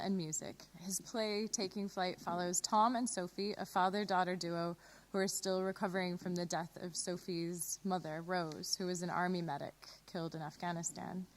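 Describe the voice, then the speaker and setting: A woman's voice: fairly low pitch, slight background noise, poor audio. A woman presenting radio plays, reading a scripted introduction.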